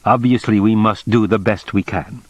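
A formal, measured official with a precise, deliberate way of speaking, as a man puts him on. The voice sits noticeably higher than the reader's own low register, courteous and controlled. It is recorded close and dry, like an audiobook. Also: steady tone, dry recording, highs cut off, natural voice. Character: formal, measured middle-aged male Japanese official.

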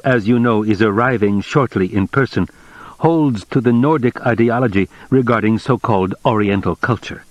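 A man reading a novel aloud, voicing a formal, measured middle-aged Japanese official who speaks with precise, deliberate courtesy. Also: medium pitch, dry recording, muffled recording, voice pushed up, close to an everyday voice.

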